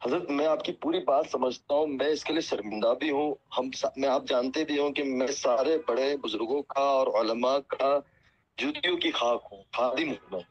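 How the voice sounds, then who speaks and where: A man's voice: mid-range voice, moderate pace, husky. A deferential, apologetic man speaking earnestly and piously as a cleric in a phone conversation, heard over a muffled call recording. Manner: pious, peppered with religious phrases. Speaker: Islamic cleric.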